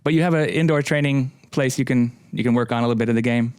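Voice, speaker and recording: A man's voice: medium pitch, fast talker, a little mellow, smooth, dry recording, clean studio sound. A man from Finland hosting an interview, chatty and friendly. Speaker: podcast host.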